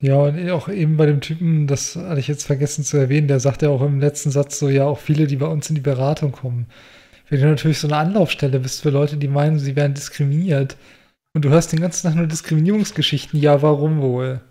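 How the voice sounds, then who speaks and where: A man's voice: a little high, fairly even intonation, fast talker, dark tone. A male podcast co-host in casual chat, skeptical and rambling with hedges.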